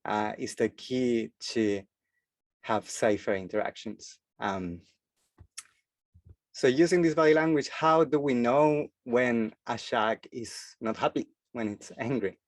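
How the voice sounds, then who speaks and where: A man's voice: medium pitch, takes it slowly, no room sound. A man from Chile, giving a presentation as a freediving instructor and speaking hesitantly with many filler sounds. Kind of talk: forum presentation talk.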